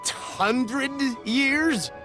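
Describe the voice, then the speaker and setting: A woman's voice: high-pitched, lively intonation, very slow, over music, exaggerated voice. A woman playing an anxious, cautious and polite merchant in a video game, flustered, wordy and earnest. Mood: anxious, wary.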